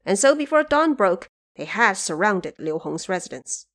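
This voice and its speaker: A woman's voice: mid-range voice, very animated, moderate pace. A woman narrator delivering scripted, dramatic storytelling for an audio drama.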